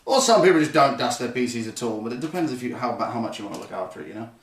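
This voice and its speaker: A man's voice: medium pitch, expressive, rapid-fire. A young man chatting casually to his stream viewers as he builds a computer, rambling and correcting himself as he goes. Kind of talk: casual live-stream chat. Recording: roomy, slightly distant, faint noise.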